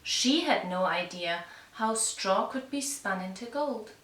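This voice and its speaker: A woman storyteller narrating a fairy tale for young children with measured, deliberate phrasing. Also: medium pitch, lively intonation, fairly bright tone. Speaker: storyteller and puppeteer. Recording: echoey room, distant mic, faint noise.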